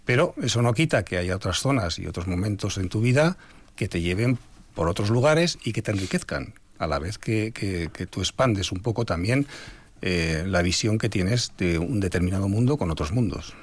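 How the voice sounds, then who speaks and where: An older Spanish man, an author being interviewed, talking in a reflective, measured way with some hesitations. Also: lowish voice, lively intonation, no room sound. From Spain. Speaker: author guest. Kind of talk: radio interview.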